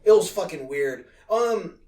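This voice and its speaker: A man hosting a casual, profane film review chat, excitable and swearing freely. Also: high voice, measured pace. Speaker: podcast host. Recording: roomy echo, distant from mic.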